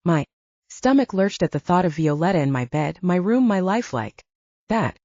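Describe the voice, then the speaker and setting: A woman's voice: lowish voice, big pitch swings, moderate pace, a little mellow, no echo, dull audio. A woman narrating a first-person story as a scripted audiobook reading.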